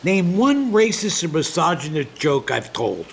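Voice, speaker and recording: An actor playing a joking, stubborn middle-aged American dad in an audio comedy, needling and theatrically aggrieved. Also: male voice, on the high side, big pitch swings, unhurried, vocal fry, heavily acted. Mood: defensive, insistent. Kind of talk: comedic audio drama acting. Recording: faint background hiss.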